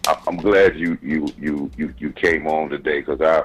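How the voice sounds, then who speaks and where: A man calling into a sports show, chatting casually in a halting way and repeating words as he goes. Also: very deep voice, steady tone, breathy edge, low-quality recording. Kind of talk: casual chat.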